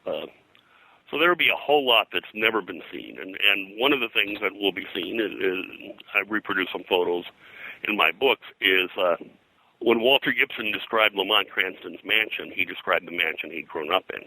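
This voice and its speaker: A man's voice: low voice, moderate pace, pushed and bright, rough recording. An older man, a guest historian, talking informally in a detailed, digressive way. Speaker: guest, pop culture historian. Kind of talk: informal interview, recounting details.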